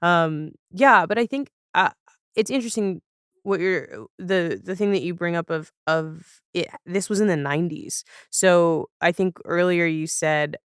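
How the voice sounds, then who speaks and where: A woman's voice: on the low side, measured pace, smooth, dry recording, studio quality. A woman chatting casually as a podcast guest, thoughtful and often searching for words as she talks about television.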